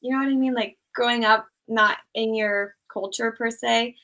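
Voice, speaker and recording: Young woman, raised in Thailand, casual rambly guest, vocal fry throughout. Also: fairly high pitch, moderate pace. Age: young adult. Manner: relaxed, rambling, many "like" and "um" fillers. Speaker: guest, small YouTuber. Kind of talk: casual interview chat.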